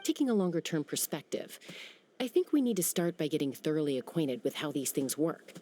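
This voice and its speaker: A woman's voice: low voice, sing-song, brisk, warm tone. An actress playing a young female warrior who speaks in a level-headed, analytical way. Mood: reasoned. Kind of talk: video game cutscene dialogue.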